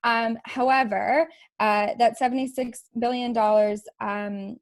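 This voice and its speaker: A woman's voice: a little high, steady tone, measured pace, dry recording. A young woman from Alberta giving a presentation on a video call, talking with many fillers, restarts and little laughs. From Alberta, Canada. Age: young adult. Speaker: youth network presenter.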